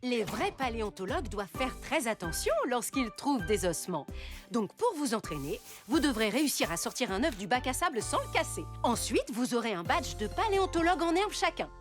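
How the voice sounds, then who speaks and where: Cheery cartoon lady teacher, high voice that swoops around, playful with kids. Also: sing-song, a little fast, over music. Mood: upbeat, instructive. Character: cheerful woman paleontologist guiding young children. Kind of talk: children's cartoon dub.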